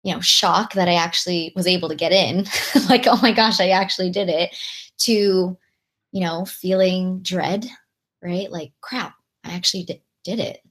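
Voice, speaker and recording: Slightly low-voiced young American woman, reflective. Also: steady tone, moderate pace, fairly bright tone. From Long Beach, California, USA. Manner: reflective, peppered with "like" and "right?". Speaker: podcast guest. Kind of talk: conversational interview answers.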